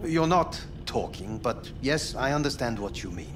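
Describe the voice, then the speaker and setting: An actor playing a formal, coolly clinical corporate scientist in a video game. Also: male voice, mid-range voice, animated, natural voice. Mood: clinical, probing. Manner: formal, measured, probing. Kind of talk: video game dialogue acting. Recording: game ambience and traffic noise behind.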